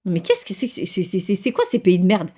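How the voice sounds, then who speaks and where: A woman's voice: mid-range voice, huge pitch swings, brisk, mellow, breathy edge. A woman talking casually and bluntly at home, outspoken and exasperated. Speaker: vlogger. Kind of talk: casual indignant chat.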